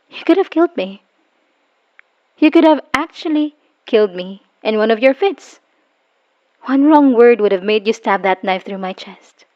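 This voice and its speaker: A woman acting a wounded, bitter woman leaving her abusive partner, in an emotional, halting dramatic audio roleplay monologue. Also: on the high side. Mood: incredulous, hurt. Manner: emotional, halting, sighing, dropping into whispers. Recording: dull lo-fi voice recording.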